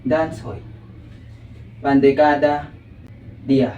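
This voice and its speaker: A man reciting a prayer solemnly, phrase by halting phrase. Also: mid-range voice, steady tone, a little mellow, highs cut off, a little hiss or hum. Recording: roomy, distant, music behind.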